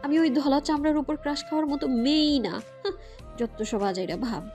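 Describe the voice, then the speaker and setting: A woman's voice: high-pitched, moderate pace, a little mellow, faint music behind. A woman playing a feisty, hot-tempered young student in an audio story, grumbling dramatically. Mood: indignant. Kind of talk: Bengali audio story acting.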